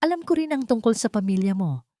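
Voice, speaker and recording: A woman's voice: a little high, lively intonation, fast talker, dark tone, voice pushed up, close to an everyday voice. A woman acts out an earnest romantic hero, a protective CEO in an audio love story. The delivery is emotional and urgent, pleading with feeling, recorded dry and without echo. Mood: gentle apology.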